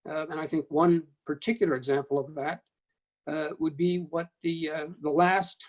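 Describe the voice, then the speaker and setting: An older American man giving reflective panel remarks in short, measured, halting phrases. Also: a little high, fairly even intonation. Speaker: panelist, former broadcasting board member.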